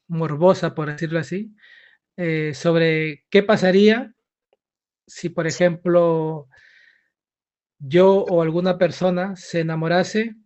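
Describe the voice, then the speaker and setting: A man in his thirties with a Peruvian accent, an author answering interview questions hesitantly with many fillers and pauses. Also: high voice, a little mellow, clean tone, highs cut off. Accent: Peruvian Spanish. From Peru. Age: 30s.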